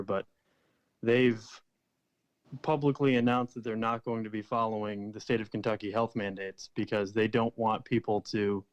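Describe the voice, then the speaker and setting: A man with a fairly low voice calls into a live show. He talks in a casual, conversational way. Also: clear, resonant tone, muffled recording. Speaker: caller on a live podcast. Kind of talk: casual chat.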